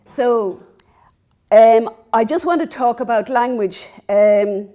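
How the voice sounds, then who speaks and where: A middle-aged Irish woman gives a university lecture, her voice mellow and soft-edged. She speaks earnestly, with small self-deprecating laughs between her points. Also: on the high side, takes it slowly. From Ireland. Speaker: professor giving a lecture. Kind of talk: public lecture. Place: lecture hall.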